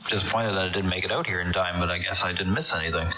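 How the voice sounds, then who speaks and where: A dull, noisy amateur radio signal carries a man's fairly low voice. He chats casually and rambles a little, pausing and hesitating as he goes. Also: steady tone. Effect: amateur radio transmission.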